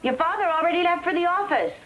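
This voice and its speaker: A woman's voice: very high voice, fast, lo-fi sound. A woman playing a firm but caring, scolding mother in a staged radio sitcom. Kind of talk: staged old-time radio sitcom re-creation. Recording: live stage, music and loud crowd.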